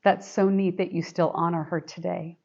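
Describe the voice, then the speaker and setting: A woman's voice: on the low side, steady tone, normal speed, vocal fry, darkish tone, no room sound, lo-fi sound. A woman hosting a podcast, reading announcements in a friendly, upbeat way and asking interview questions. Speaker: podcast host. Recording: music playing behind.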